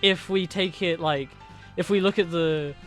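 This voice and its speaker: Higher-voiced young man, rambling. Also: a little slow, fairly bright tone, soft background music. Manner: enthusiastic, rambling, fillers. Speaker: podcast co-host reviewing a film. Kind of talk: casual chat.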